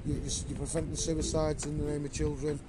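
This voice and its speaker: A man's voice: on the high side, flat delivery, fairly quick, very noisy. A man speaking in an earnest, emphatic, rallying way, like a campaigner chatting to live-stream viewers outdoors on a city street. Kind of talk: impassioned casual live-stream chat.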